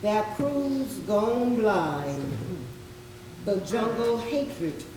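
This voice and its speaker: A woman playing a bitter, brooding poetic voice in a drawn-out, incantatory readers theatre recital, heard from afar in a very echoey, noisy hall. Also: fairly high pitch, sing-song, warm tone, exaggerated voice. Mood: ominous. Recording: very echoey hall, distant mic, background noise.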